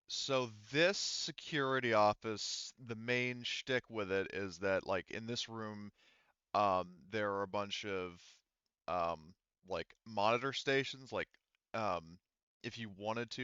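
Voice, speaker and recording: Low, sharp-toned male game master, slightly muffled. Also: clear, resonant tone, no echo. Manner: hesitant, groping for words. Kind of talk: casual tabletop game narration.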